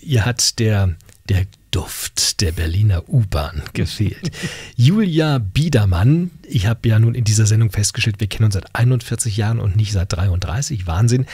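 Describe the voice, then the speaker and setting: Husky, low-voiced male radio host. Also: expressive, moderate pace, a little mellow, no echo. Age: middle-aged. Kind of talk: conversational radio interview. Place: small radio studio. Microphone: close studio microphone on boom arm.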